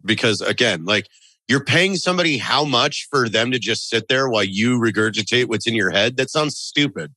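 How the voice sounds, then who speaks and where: Man, bright forceful voice, rambling rant, dry studio mic. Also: medium pitch, animated, moderate pace, no echo. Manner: rambling, restarts, ranting. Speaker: podcast co-host. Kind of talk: casual podcast chat. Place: studio room. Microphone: studio mic on boom arm.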